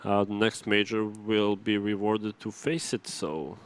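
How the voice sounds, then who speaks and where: A man's voice: fairly low pitch, level tone, unhurried, smooth. A man with a Serbian accent hosting an esports studio desk in casual chat, often restarting phrases and laughing.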